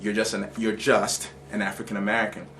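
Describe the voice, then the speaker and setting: Brief: Bermudian-accented male academic, quick delivery, reflective and searching. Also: fairly low pitch, completely flat delivery, fairly bright tone, slight background noise. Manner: reflective, often repeats words while thinking. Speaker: education scholar and author. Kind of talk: interview answers, storytelling.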